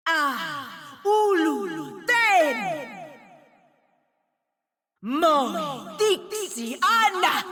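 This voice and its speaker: A woman's voice: fairly high pitch, fairly bright tone, slightly rough, dry recording, clean studio sound, exaggerated voice. An actress voicing a proud, sardonic woman paladin in a video game, with a formal, clipped, commanding manner. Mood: shouting. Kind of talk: video game character voice lines and battle barks.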